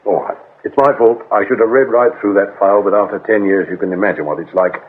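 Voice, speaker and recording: A man's voice: on the low side, steady tone, quick pace, breathy edge. An actor playing a senior police detective in a radio drama, dryly sarcastic and commanding with his men. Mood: resigned. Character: sardonic senior male police detective, dry and bossy. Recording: muffled phone-like radio drama recording, faint noise.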